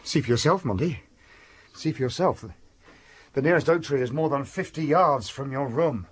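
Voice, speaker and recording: An actor playing a nervy, brooding gentleman in a radio drama, speaking in an agitated, emphatic, formal way. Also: mid-range voice, normal speed, a little hiss or hum, lightly acted. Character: nervy, brooding gentleman, gloomy heir of a haunted house.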